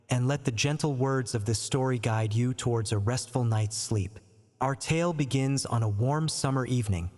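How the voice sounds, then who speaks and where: A man's voice, drenched in long reverb, narrates a bedtime meditation. He sits at a middling pitch and speaks gently and calmly, taking his time with each soothing phrase. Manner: calm, gentle, soothing, unhurried. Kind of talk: guided sleep meditation, scripted bedtime storytelling.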